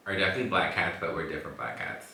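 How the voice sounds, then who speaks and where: A man hosting a podcast in casual chat, playful and teasing, quick to laugh, from Los Angeles. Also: rumbling low, expressive, gravelly, sharp tone, far from the mic, a little hiss or hum. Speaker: podcast host. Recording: echoey room, voice a bit off-mic.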